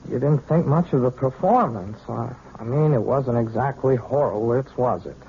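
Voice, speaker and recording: A man's voice: mid-range voice, normal speed, natural voice. An actor playing an earnest, lovesick twenty-year-old male student, faltering as he speaks in a vintage radio drama. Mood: sheepish. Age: 20s. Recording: muffled vintage radio, faint noise.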